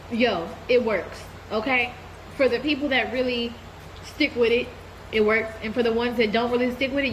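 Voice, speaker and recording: Woman vlogger, congested with a cold, candid and relaxed, slightly high voice, faint hiss behind. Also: low-quality recording. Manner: relaxed, candid, addresses viewers as y'all. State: stuffy from a cold. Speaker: vlogger giving a life update. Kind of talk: casual chat to camera.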